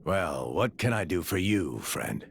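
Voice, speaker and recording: An old theatre troupe man in a game cutscene. The voice is low and gravelly, with wide swings in pitch. He grumbles in a wry, dry, unhurried way. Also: sing-song, a little hiss or hum, full character voice. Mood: wry, friendly. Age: older. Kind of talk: video game cutscene acting.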